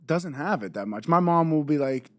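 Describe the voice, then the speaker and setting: A young man from the Pittsburgh area hosting a podcast, chatty and upbeat, quick to laugh. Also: fairly high pitch, lively intonation, fairly bright tone, no echo, studio quality. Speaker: podcast host. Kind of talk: casual podcast chat.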